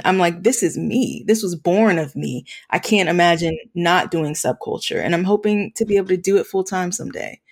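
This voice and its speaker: A woman talking reflectively as an interview guest, in an earnest way that often halts mid-thought. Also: fairly low pitch. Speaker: podcast guest, nonprofit founder working with students.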